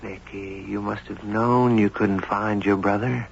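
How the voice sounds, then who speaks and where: An earnest male writer with a low, crackly-edged voice, narrating and speaking in a radio drama. His delivery is measured, kind and reflective. The old recording is muffled, with a faint bed of noise. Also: fairly even intonation, vocal fry. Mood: gently probing.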